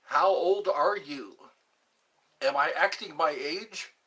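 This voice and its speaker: A man's voice: fairly high pitch, slow pace, bright, forceful tone, breathy edge, low-quality recording. A man in his fifties hosting a rambling livestream, chatting to viewers and breaking into snatches of old pop songs. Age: 50s. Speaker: livestream host. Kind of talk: rambling livestream chat with singing.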